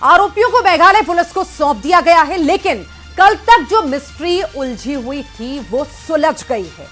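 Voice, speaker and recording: A woman's voice: high voice, expressive, moderate pace, a bit bright, faint music behind. A woman presenting scripted news as an anchor.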